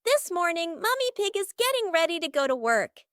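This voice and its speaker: A woman reading a scripted children's story as narrator, with expressive storybook delivery. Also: very high-pitched, fairly quick, no echo, clean studio sound.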